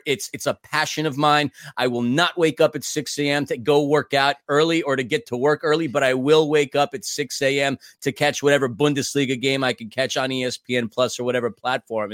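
A man's voice: fairly high pitch, fairly bright tone, dry recording, studio quality. A middle-aged man, co-hosting a podcast introduction in a showy, emphatic, announcer-like way. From New York City, United States. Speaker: podcast co-host.

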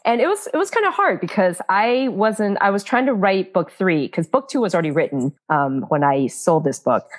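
A woman's voice: medium pitch, expressive, normal speed. A woman author from Taiwan, talking casually in an interview with many fillers and little laughs. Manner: chatty, frequent fillers and laughs.

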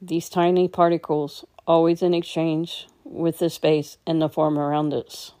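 A woman leading a guided meditation as a teacher, speaking in a gentle, unhurried, instructing way. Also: low voice, level tone.

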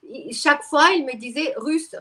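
A woman's voice: high-pitched, moderate pace, bright, forceful tone. A middle-aged woman, talking at length as an interview guest, with many restarts and fillers. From Ukraine. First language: Russian. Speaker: guest expert. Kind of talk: interview conversation.

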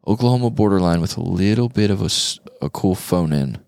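An American man with a deep voice hosts a casual music podcast. He talks in a laid-back, slangy way, and the sound is dry with very little room on it. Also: animated, a little fast, creaky, a little mellow, no room sound. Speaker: podcast host.

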